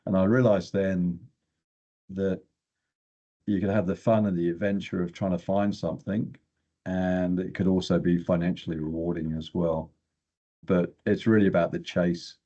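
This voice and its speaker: Deep-voiced older man, slightly husky. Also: flat delivery, normal speed, dark tone, lo-fi sound. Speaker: interview guest, exploration geochemist. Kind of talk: casual storytelling in an interview.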